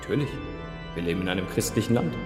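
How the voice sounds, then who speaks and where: Casting: calm, principled priest, lowish voice, measured and assured, radio play with long church reverb. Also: male voice. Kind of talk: radio drama acting. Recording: echoey church hall, music behind.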